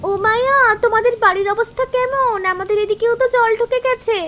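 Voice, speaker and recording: A village auntie from a cartoon, calls out in an extremely high voice. She sounds motherly and concerned. Rain can be heard around her. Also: steady tone, fairly quick, a bit bright, a little hiss or hum. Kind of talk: animated story dialogue.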